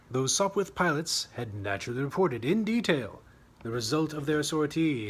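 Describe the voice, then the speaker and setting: A man's voice: mid-range voice, a little hiss or hum. A man reading his own humorous short story as scripted narration, with a wry, dramatic storytelling manner.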